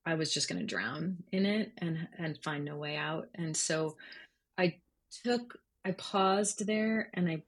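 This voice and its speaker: A woman with a low voice and a husky edge speaks as a guest in an interview. She explains her work in a conversational, thoughtful way, often searching for the right word as she goes. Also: unhurried. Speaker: journalist guest. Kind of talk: conversational interview, explaining their writing process.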